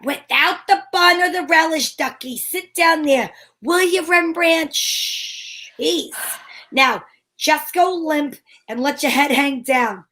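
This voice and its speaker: High-voiced sassy lady detective, acted by a woman. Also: slow pace, bright, forceful tone, heavily acted. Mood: sly, bossy. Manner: wisecracking, coy, teasing. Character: sassy, flirtatious female private detective narrating her case. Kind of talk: old-time radio detective parody.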